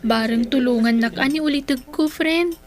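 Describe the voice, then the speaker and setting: An actress playing an earnest, pleading young daughter in a radio drama, with an Ilocano accent. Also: female voice, high-pitched, normal speed, lightly acted. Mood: worried.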